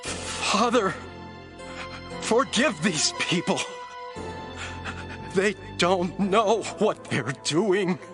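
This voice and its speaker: An actor playing a calm, sorrowful condemned teacher who speaks in a grave, measured way in a radio drama. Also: male voice, sits high, music in the background, full character voice. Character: calm, sorrowful male teacher facing death.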